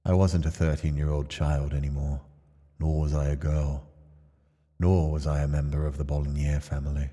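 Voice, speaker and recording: A man reading aloud in the first person as a numb, brooding young nobleman exiled to a mansion, in a measured, subdued way. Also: a bass voice, little pitch movement, warm tone, breathy edge, in a large hall. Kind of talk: reading game text aloud.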